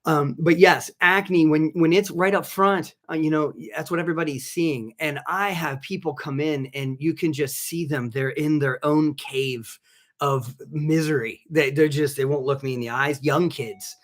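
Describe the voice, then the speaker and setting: A man with a slightly raised pitch, hosting a live stream about skin care. His voice is a little raspy from allergies, and he rambles warmly through fillers and restarts as he greets viewers by name. It sounds casual and unscripted. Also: steady tone, moderate pace. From Southern California. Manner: rambling, many restarts and fillers, reads chat names aloud. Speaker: physician assistant and channel host.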